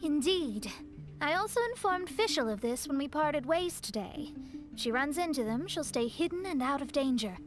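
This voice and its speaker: A woman's voice: sits high, expressive, moderate pace. A woman playing a proud, refined young astrologist in a video game, self-assured and precise. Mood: confident. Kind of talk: video game character acting. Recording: music behind.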